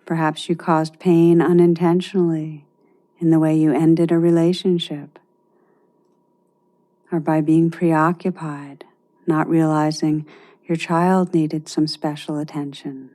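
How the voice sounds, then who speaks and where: A woman leading a guided meditation in a gentle, measured way, leaving long pauses between phrases. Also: sits low, little pitch movement.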